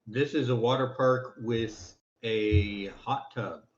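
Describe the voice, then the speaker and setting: A man joining a game league show as a team's player, answering in short, relaxed remarks over a voice call. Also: mid-range voice, takes it slowly, a crowd in the background. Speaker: team player guest. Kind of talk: casual chat. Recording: echoey, dull voice-call audio.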